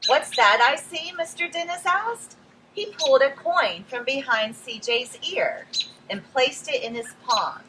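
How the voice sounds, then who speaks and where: A woman reading a picture book aloud outdoors to young children, expressive and addressing them directly as friends. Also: sits high, measured pace. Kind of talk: animated read-aloud storytelling for young children. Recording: some background noise, outdoor ambience.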